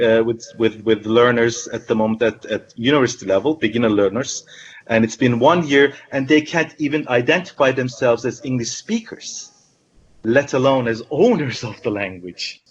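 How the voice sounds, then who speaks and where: A man who teaches English at university, speaking earnestly in a panel discussion and often repeating words as he thinks. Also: medium pitch. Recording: muffled online audio.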